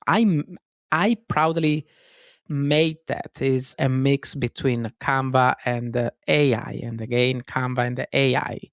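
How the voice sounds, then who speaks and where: A man with an Italian accent speaks alone as a podcast host, with a gravelly edge to his voice. He talks earnestly, often stops to correct himself, and keeps the listener close with casual fillers. The sound is dry and close, with no room echo. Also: medium pitch, fairly even intonation, slow, fairly bright tone. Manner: earnest, self-correcting, frequent fillers and "guys". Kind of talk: solo self-help podcast monologue.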